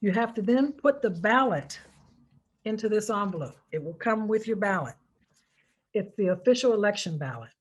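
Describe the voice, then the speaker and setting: A woman's voice: mid-range voice, animated, moderate pace, husky. An American woman giving informal, step-by-step public instructions in an emphatic manner, repeating key points to stress them. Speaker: presenter of a voter instruction video.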